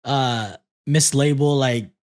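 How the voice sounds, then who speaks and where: A young man from the Philippines, a native Tagalog speaker, co-hosting a casual chat, animated and quick to swear and laugh. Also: mid-range voice. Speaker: podcast co-host.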